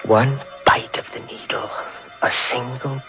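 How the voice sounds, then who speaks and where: A man's voice: mid-range voice, level tone, unhurried, natural voice. An actor playing a scheming, paranoid man who believes himself immortal, in brooding, theatrical old-time radio thriller style. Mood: cold, suspenseful. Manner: theatrical, brooding, soothing to his sweetheart. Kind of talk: 1940s radio thriller acting with first-person narration. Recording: muffled vintage radio recording with music.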